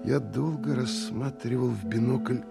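Audio drama monologue: young scout, creaky mid voice, colloquial, wry, over music. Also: male voice, mid-range voice, measured pace. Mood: musing. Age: 20s. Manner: colloquial, musing to himself. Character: wry, emotional 25-year-old counterintelligence scout recounting a stakeout. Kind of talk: dramatic first-person monologue. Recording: music behind the voice.

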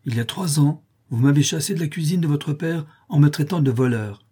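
A menacing, bitter grave robber, as a storybook narrator puts him on, speaking loudly in a raised, husky voice. He is threatening and cold. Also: fairly high pitch, fairly quick, voice pushed up.

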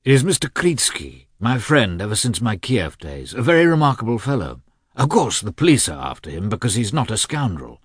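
A man reading aloud and voicing a wild, gaunt, irritable man who speaks in sharp, defiant outbursts. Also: on the low side, sing-song, a little fast, slightly rough, no echo, clean studio sound, pitched above the performer's own voice. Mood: blustering.

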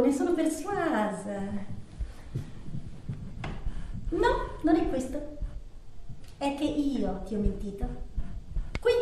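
An actress playing a desperate, abandoned lover pleading on the telephone in a theatrical monologue, halting and repetitive, swinging between hushed and anguished. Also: female voice, fairly high pitch. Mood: confessing, pained. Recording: very echoey, distant stage recording, noisy.

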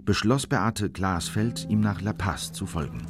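An actor as a sober documentary narrator, reading scripted narration. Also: male voice, low-pitched, animated, measured pace, music in the background.

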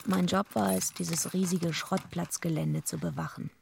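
An actress playing a tough female wrestler and night watchwoman who narrates bluntly and deadpan in a radio play. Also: lowish voice, normal speed, vocal fry, background noise. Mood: weary, matter-of-fact.

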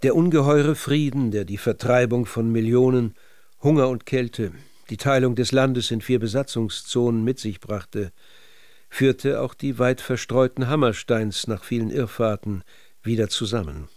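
A man with a mid-pitched voice narrates a scripted radio documentary. His delivery is measured and literary, shaped like careful reading of prose. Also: a little mellow.

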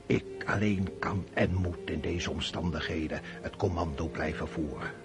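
An actor in a Dutch radio drama playing a weary, brooding old Russian field marshal, speaking with deliberate authority. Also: male voice, sits low, over music. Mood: solemn resolve. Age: older.

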